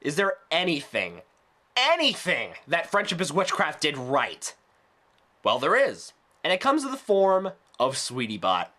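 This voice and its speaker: A man's voice: fairly high pitch, huge pitch swings, unhurried, pushed and bright. A man delivering a scripted ranting review in a sarcastic, exasperated, emphatic way. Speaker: video reviewer.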